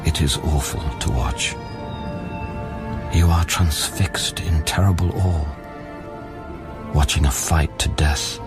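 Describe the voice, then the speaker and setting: A low, weighty male voice of an actor as a haunted scientist in an audio drama. He recalls an alien world with brooding, solemn awe, choosing each phrase deliberately, while music plays clearly beneath him. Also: heavily acted. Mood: awestruck. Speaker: narrator and lead actor. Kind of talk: dramatic first-person audio play monologue. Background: music under the voice.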